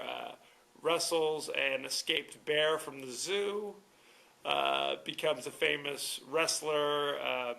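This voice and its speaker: A man hosting his own podcast, reviewing a movie in a loose, rambling chat. His voice sits a little high and has a gravelly edge, with a bright, forceful tone. He keeps things wry and adds chuckling asides. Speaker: podcast host. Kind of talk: casual solo movie review.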